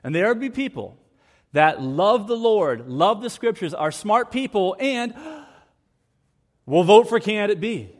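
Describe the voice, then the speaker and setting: A man's voice: high voice, animated, a little slow. A man from the United States preaching a sermon in church, earnest and repeating key phrases for emphasis. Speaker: pastor.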